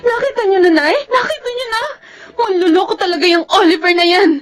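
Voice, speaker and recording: A woman's voice: extremely high pitch, fairly quick, soft background music. A woman playing a distraught, tearful and accusing young mother in a Filipino radio drama. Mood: upset, tearful.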